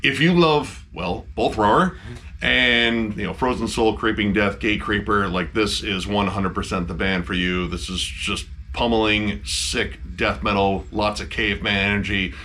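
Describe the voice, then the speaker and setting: A man's voice: on the low side, a little slow, pushed and bright, a little hiss or hum. A man co-hosting a casual album review chat, emphatic and swearing freely.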